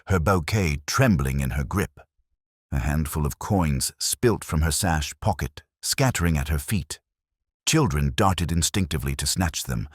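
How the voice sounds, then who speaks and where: A man reading scripted mystery fiction in a measured, literary storytelling manner. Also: rumbling low, breathy edge, dry recording, clean studio sound. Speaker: narrator.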